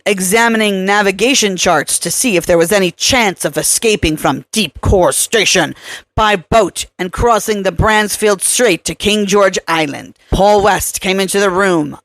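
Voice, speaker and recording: A woman's voice: mid-range voice, unhurried, dry recording. A woman reading scripted horror fiction aloud as an audiobook narrator.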